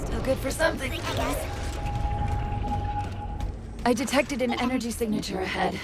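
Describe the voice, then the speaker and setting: High-voiced, loyal female AI. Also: normal speed, vocal fry. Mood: subdued, loyal. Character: female military AI companion, brisk and loyal. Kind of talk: video game cutscene acting. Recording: loud music behind.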